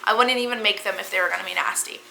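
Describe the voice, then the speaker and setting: A hoarse woman in her thirties hosting a casual cooking vlog, chatty and full of ums and likes. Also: mid-range voice, rapid-fire, sharp tone, a little hiss or hum, rough recording. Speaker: cooking channel host.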